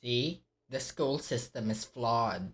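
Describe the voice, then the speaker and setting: A woman's voice: extremely low pitch, takes it slowly, a little hiss or hum. A woman with a Filipino accent giving casual commentary as she streams a game. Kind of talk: casual live game commentary, switching between Tagalog and English.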